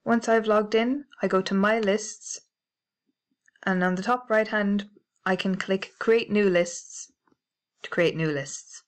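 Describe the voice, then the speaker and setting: A woman with a British accent narrating a library software tutorial, clear and methodical, spelling out codes letter by letter. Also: mid-range voice, slow. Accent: British English. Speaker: library staff trainer. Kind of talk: instructional screencast narration.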